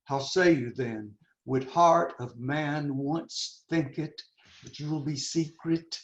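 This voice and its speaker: A man performing an anguished, intense young prince in a Shakespearean dramatic reading, declaiming haltingly and dwelling on words. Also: a little high. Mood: wry, intense. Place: indoors on a video call. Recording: roomy, echoing, muffled video call audio.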